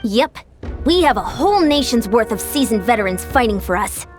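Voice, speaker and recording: A woman voicing a frank, high-voiced young warrior. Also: expressive, normal speed, soft background music, natural voice. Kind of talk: video game voice acting.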